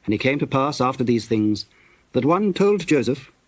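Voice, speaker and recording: A man narrating scripture from a script in a measured, solemn storytelling manner. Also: medium pitch, very animated, no room sound.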